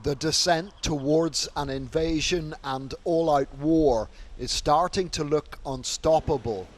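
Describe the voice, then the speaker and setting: Male news correspondent, higher-pitched voice. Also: measured pace. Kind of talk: scripted news report narration.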